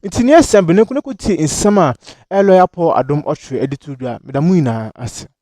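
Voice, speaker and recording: A man's voice: a little high, animated, brisk, dark tone, dry recording, studio quality. A man with a Ghanaian accent presenting and commenting on political news.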